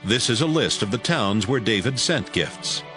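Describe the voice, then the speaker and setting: A man narrating dramatized scripture from a script, in a clearly phrased storytelling manner. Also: fairly low pitch, animated, moderate pace, pushed and bright. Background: music.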